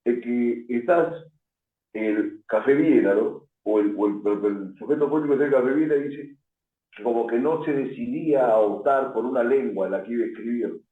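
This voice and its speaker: A man's voice: mid-range voice, normal speed. A man hosting a radio interview, asking long, winding questions. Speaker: host. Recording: tinny phone-like line in an echoey room.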